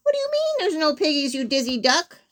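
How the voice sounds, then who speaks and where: A woman voicing a greedy, bad-tempered witch in a storybook, shrill and screechy, bossy and full of exclamations. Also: expressive, unhurried. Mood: furious screeching. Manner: exclamatory, bossy, insult-slinging.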